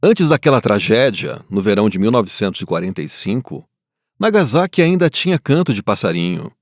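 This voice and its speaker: A man playing a grieving survivor of war, looking back in a solemn, reflective scripted first-person narration with weighty pauses. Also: on the low side, very animated, dry recording, clean studio sound. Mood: wistful, reflective.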